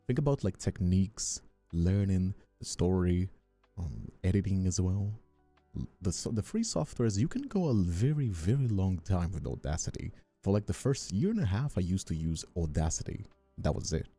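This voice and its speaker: A man with a very low, velvety dark voice talks off the cuff as a streamer. His pitch moves around a lot as he rambles through his thoughts for viewers, with soft music behind him. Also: sing-song, normal speed, husky. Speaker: streamer and ASMR voice actor. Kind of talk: casual livestream chat answering viewers.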